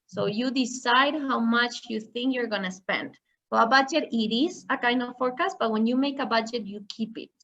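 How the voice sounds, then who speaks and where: A woman's voice: on the high side, moderate pace, a bit bright, lo-fi sound. A woman giving an online masterclass on finance, explaining step by step with examples. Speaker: finance trainer. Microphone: video call.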